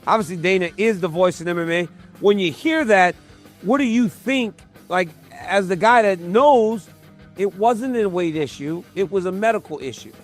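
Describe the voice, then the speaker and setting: A man's voice: sits high, soft background music. A man hosting an interview, asking long conversational questions. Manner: conversational, quick follow-up prompts. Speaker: interview host.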